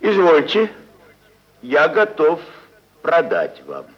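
An actor playing a stubborn, blunt landowner who haggles emphatically and repetitively, in old stage-style radio drama. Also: male voice, fairly high pitch, wildly swooping pitch, slow pace, heavily acted. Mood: gruff bargaining. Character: stubborn, blunt, haggling male landowner selling dead serfs. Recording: dull vintage archive radio recording.

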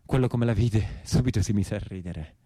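Low-voiced southern Italian brigand. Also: male voice, normal speed, warm tone, husky, dry recording, studio quality. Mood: bitter, aggrieved. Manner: dialect-heavy, emphatic, repetitive. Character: imprisoned southern Italian peasant brigand, embittered, pleading with his mother. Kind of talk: theatrical monologue.

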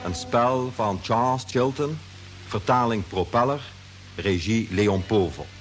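A man's voice: lowish voice, measured pace, soft background music. A man giving a scripted announcement for a radio drama.

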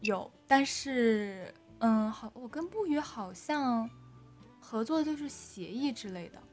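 A woman's voice: a little high, expressive, measured pace, over music. A woman speaking as an audio drama director in a casual, unscripted interview, hesitant and often repeating words as she finds her phrasing.

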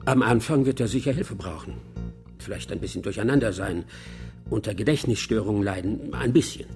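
An actor playing a self-assured, coolly clinical professor of surgery in a radio crime drama, precise and matter-of-fact. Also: male voice, on the low side, a little fast, darkish tone, soft background music, natural voice. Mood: clinical, confident. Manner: matter-of-fact, precise, unfazed by gruesome detail.

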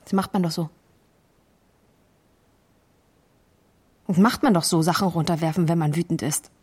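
A woman's voice: on the low side, fast, mellow, breathy edge. An actress playing a hurt, bitter wife who confronts her partner in a naturalistic radio play, controlled but accusing.

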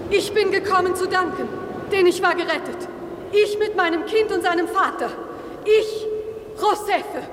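A woman's voice: very high voice, normal speed. An actress playing a fervent, resolute young mother facing death in a 1950s German radio play. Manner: fervent, declamatory. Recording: very noisy old radio recording, crowd.

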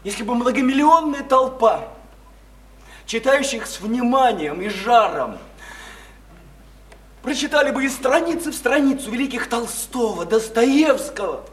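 An actor playing an impassioned idealist in a radio play, preaching reform in halting, repetitive, grandiose speeches. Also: male voice, extremely high pitch, expressive, a bit bright, exaggerated voice. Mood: preachy, exalted. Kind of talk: theatrical radio play acting. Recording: noisy, echoey stage-like radio play recording.

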